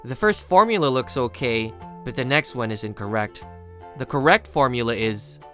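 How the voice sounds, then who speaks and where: An actor playing a studious, reserved teenage high school boy who narrates and speaks in a manga video, introspective and a little hesitant. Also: lively intonation, normal speed, fairly bright tone, soft background music, close to an everyday voice. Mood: earnest.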